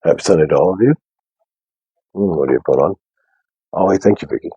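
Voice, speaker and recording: A young man with a deep bass voice, talking softly and casually to viewers on a live stream. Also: fast, slightly rough, no room sound, muffled recording. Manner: soft, unprojected speech. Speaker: livestream host. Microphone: wearing a mic.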